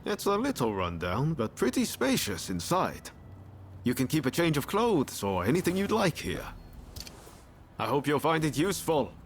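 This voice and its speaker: An actor playing an easygoing, friendly samurai-era companion in a video game, talking in a casual, chummy way. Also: male voice, on the high side, wildly swooping pitch, moderate pace, a little hiss or hum. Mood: friendly, upbeat. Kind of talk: video game cutscene acting.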